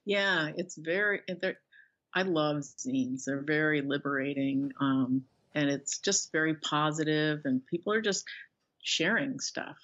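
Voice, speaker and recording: A middle-aged woman talks in a casual interview over slightly dull, lo-fi audio. She wanders through her thoughts haltingly, often restarting a sentence partway. Her delivery is conversational, like an author discussing her work. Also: low voice, fairly bright tone. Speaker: author and illustrator being interviewed.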